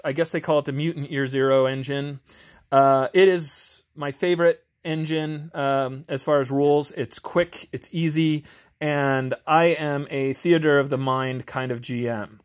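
A man chatting casually and unscripted as an amateur reviewer, in an informal, hesitant, self-deprecating way. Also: a little high. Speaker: amateur video reviewer and tabletop game master. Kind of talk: casual, unscripted chat while reviewing a game book. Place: home garage.

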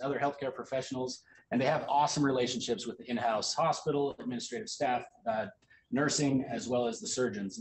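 Fast-talking man giving a medical lecture. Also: mid-range voice, darkish tone, a little hiss or hum, poor audio. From United States. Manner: quick, casual lecturing with frequent ums and uhs. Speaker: orthopedic surgery resident presenting at grand rounds. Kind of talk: academic slide presentation.

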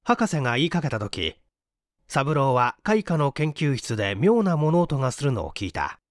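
Animated male mystery story narrator. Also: mid-range voice, moderate pace, no room sound, clean studio sound. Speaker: audiobook narrator.

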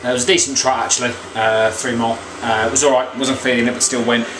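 A man in his thirties with a slightly croaky voice, giving a rambling, earnest motivational vlog talk as a coach. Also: medium pitch, flat delivery, fast. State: slightly croaky after a run. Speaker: weight loss coach. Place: small room at home, indoors. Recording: echoey small room, faint background noise.

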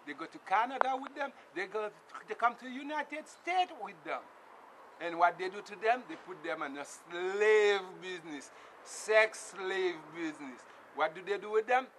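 A man's voice: high-pitched, lively intonation, moderate pace, slight background noise. A middle-aged Haitian man with a Haitian accent, delivering an impassioned, repetitive political rant straight to a close camera in a small tarp-walled space. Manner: emphatic and repetitive, swings between shouting and muttering. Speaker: political vlogger. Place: small indoor space with blue tarp walls. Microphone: camera close up in front of him.

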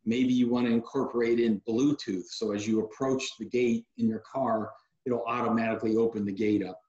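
A man in his forties, a company guest on a video call interview, explaining security products in a relaxed, practical way with frequent fillers. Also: mid-range voice, brisk. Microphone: video call webcam mic. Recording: echoey room over a dull video call.

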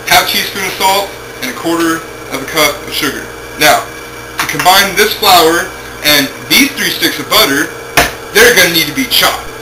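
Young man hosting a homemade cooking show. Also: fairly high pitch. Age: young adult. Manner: jokey, offhand, talks while working. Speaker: home cooking show host. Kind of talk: casual cooking demonstration. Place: home kitchen, indoors. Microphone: camera mic, a few feet away. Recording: noisy home-video camera audio.

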